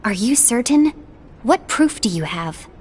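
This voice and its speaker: A woman's voice: on the high side, sing-song, a little slow, husky, full character voice. An actress playing a composed, diplomatic woman of a masked order, polite and articulate, in a video game cutscene. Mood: concerned.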